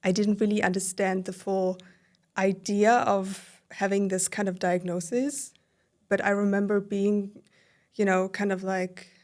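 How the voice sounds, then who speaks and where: A woman in her thirties from southern Germany with a German accent, a podcast guest recounting her life in a warm, filler-heavy way. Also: medium pitch, flat delivery, a little slow. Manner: warm, storytelling with many fillers. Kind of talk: casual interview conversation.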